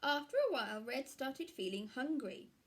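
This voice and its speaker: A woman in her thirties, a primary teacher reading a story aloud to children in a measured, explanatory way, recorded in a small room. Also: high voice, expressive. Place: small room at home, indoors.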